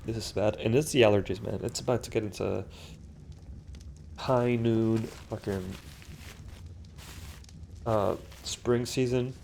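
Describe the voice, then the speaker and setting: A man's voice: fairly low pitch, fairly even intonation, takes it slowly, vocal fry, pauses often, a little mellow, slight background noise. A young man with a stuffy, congested nose, casually commentating a solo game session, rambling and swearing with lots of fillers and restarts. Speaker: gaming commentator. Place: home room.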